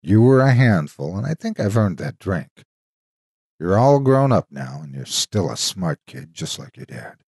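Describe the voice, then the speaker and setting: A man's voice: low voice, big pitch swings, unhurried, a little mellow, slightly rough, dry recording, studio quality, exaggerated voice. A man voicing a devoted, protective soldier's ghost in an earnest, solemn horror storytelling reading. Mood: tender.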